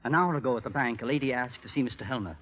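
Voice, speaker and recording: An actor playing an uneasy, aggrieved bank employee in an old radio drama, speaking formally and pointedly. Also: male voice, medium pitch, very fast, husky, close to an everyday voice. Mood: uneasy, polite. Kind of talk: 1930s radio drama acting. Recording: muffled old-time radio recording.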